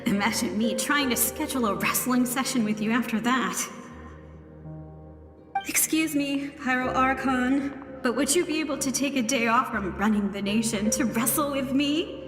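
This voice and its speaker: A woman's voice: high-pitched, moderate pace, natural voice. A woman voicing a friendly, sporty young woman in an anime-style video game, playful and earnest. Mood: playful, wistful. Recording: long echoing reverb, music underneath.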